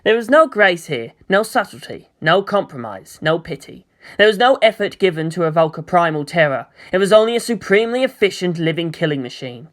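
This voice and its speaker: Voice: mid-range voice, expressive, brisk, fairly bright tone. A narrator reading scripted fiction aloud in a dramatic storytelling style.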